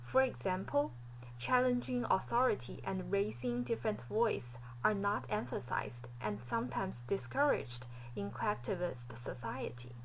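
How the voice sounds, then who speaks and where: A woman's voice: mid-range voice, moderate pace, poor audio. A woman from China, a native Chinese speaker with a Chinese accent, giving a scripted student presentation. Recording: faint hum behind voice.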